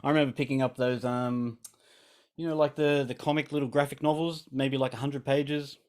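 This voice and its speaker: A man hosting a casual interview, laid-back and encouraging with quick affirmations. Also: mid-range voice, level tone. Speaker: podcast host.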